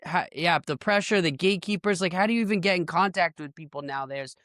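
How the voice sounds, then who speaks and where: Clear, slightly high-pitched man hosting casually. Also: fast talker, a bit bright, dry recording, clean studio sound. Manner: informal, self-deprecating, sprinkles in "like". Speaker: podcast host. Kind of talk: casual conversational podcast talk.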